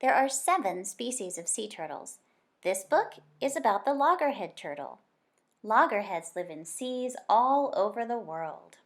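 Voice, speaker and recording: A woman reads a nonfiction picture book aloud for children. Her intonation is lively and moves widely up and down at a middle pitch. She tells it like a teacher, expressively and deliberately, drawing out key words.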